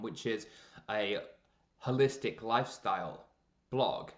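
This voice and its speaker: A man presenting a scripted promotional explainer in a measured, deliberate way with emphasis on key words. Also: mid-range voice. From Canada. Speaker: presenter, freelance copywriter. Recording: roomy echo, slightly dull lo-fi sound.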